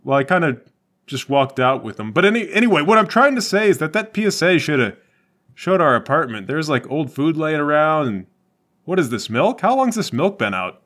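A man's voice: a little high, very animated, fairly quick, fairly bright tone. A man playing a glum, crooked slacker cop boyfriend in a tabletop game, with a hesitant, stammering delivery. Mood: glum, pleading.

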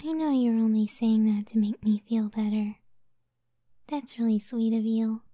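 A woman voicing a gentle, self-doubting giant cyclops wife in a soft, breathy ASMR roleplay, speaking tenderly and often near a whisper. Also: fairly high pitch, steady tone, no room sound, clean studio sound, natural voice. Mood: wistful, insecure. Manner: slow, tender, unhurried.

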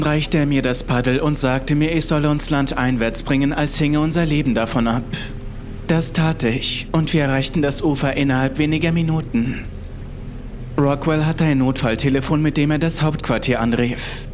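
A man reading a scripted first-person horror story as its narrator. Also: medium pitch. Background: music.

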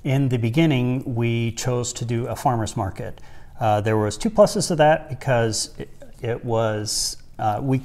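A man in his forties, a farmer and co-host, giving measured, plain-spoken advice in a casual chat. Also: medium pitch, a little mellow. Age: 40s. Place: small wood-panelled room indoors by a stove. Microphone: lapel mic.

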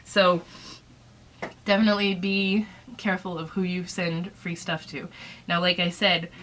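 A woman's voice: lowish voice, little pitch movement, a little slow, fairly bright tone, a little hiss or hum. A woman in her thirties giving casual advice about running a small shop, chatty and rambling, her throat dry from a recent illness, recorded on a webcam in a small room. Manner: chatty, rambling, lots of fillers. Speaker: small business owner vlogger.

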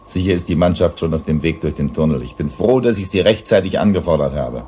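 Gruff colony boss for sci-fi radio play, male actor: very low voice, commanding, indignant, faint background noise. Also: fast, mellow, natural voice. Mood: businesslike. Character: gruff, irascible, authoritarian male mining director on Venus. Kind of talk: German radio drama acting.

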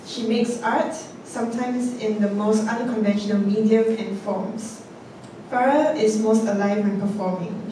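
A woman emcee reading out panel speaker bios on a theatre stage, measured and steady from her notes with the odd um. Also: medium pitch. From Singapore. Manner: measured, reads from notes with occasional ums. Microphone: handheld mic, heard from a distance. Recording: echoey hall, voice from far off, noisy.

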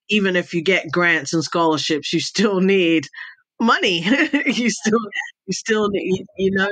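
A woman's voice: lowish voice, dry recording. A woman with an accent between American and British, telling stories about her past as an interview guest and laughing often over a video call. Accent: mixed American and British. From Jamaica. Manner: laughs often, animated storytelling. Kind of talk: casual conversational storytelling.

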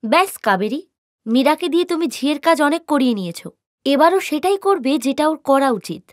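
A woman's voice: sits high, animated, fairly quick, no room sound, clean studio sound. A woman voicing a kindly, well-off lady in a cartoon story, firm and reassuring.

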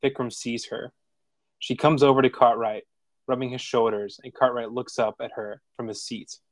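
Male narrator for screenplay action lines, medium pitch, measured, plain delivery, dry close sound. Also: steady tone, no echo. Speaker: stage directions reader. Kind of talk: scripted narration.

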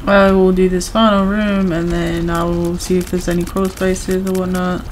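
Woman, slightly low voice, a little hoarse, casual game commentary, faint background hum. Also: flat delivery, moderate pace. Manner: chatty, self-reassuring, talks to viewers. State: voice going hoarse from overuse. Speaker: gaming streamer.

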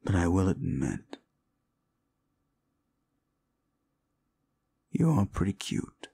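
A man's voice: low voice, wildly swooping pitch, creaky, mellow, slightly rough, exaggerated voice. A man voicing a condescending, seductive demon deal-maker in an audio roleplay, speaking in a theatrical, mocking drawl. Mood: teasing, seductive. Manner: slow, condescending, theatrical drawl with amused chuckles. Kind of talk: scripted audio roleplay addressed to the listener.

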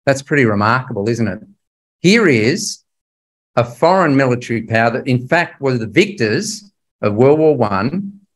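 A man's voice: medium pitch, lively intonation, moderate pace. Older man lecturing, emphatic and questioning. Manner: conversational, emphatic, puts rhetorical questions to listeners. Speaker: Bible class speaker. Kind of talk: illustrated history lecture to a religious class.

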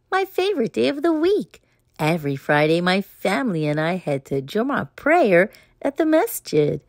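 Animated woman reading a children's story aloud. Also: fairly low pitch, no echo, studio quality. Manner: expressive, deliberate phrasing. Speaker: storybook reader. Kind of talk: children's read-aloud narration in the voice of a child character.

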